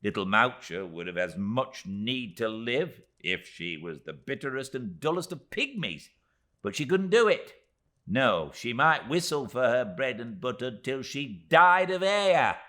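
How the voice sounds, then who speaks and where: A man's voice: mid-range voice, sing-song, measured pace, fairly bright tone, pitched above the performer's own voice. A man reading a storybook and voicing a tiny, emphatic, indignant middle-aged woman in distress. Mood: bitter, passionate.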